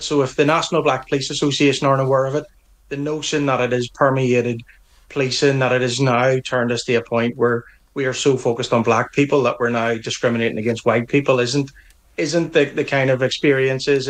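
Man, Northern Irish accent, middle-aged, firm and earnest, restarts phrases, interview guest. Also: medium pitch. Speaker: police association representative. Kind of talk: broadcast interview answers.

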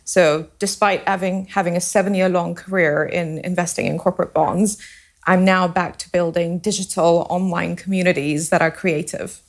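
A woman's voice: fairly low pitch, steady tone, moderate pace, vocal fry. A woman in her thirties from India and Singapore, a company founder talking openly in a radio interview. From India, raised in Singapore. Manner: open, talkative, with fillers.

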